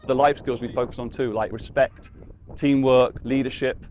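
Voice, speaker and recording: A man's voice: medium pitch, fairly even intonation, normal speed, background noise. British man, casual youth sports coach. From United Kingdom. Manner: casual, restarts words. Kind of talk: interview.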